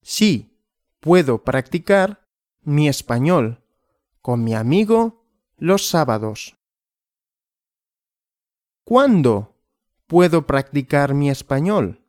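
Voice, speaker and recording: A man teaches a language lesson at a very slow, careful pace, asking simple questions and answering them himself. His pitch rises and falls widely, and the sound is dry and close. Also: very animated, darkish tone, no echo. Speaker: Spanish teacher.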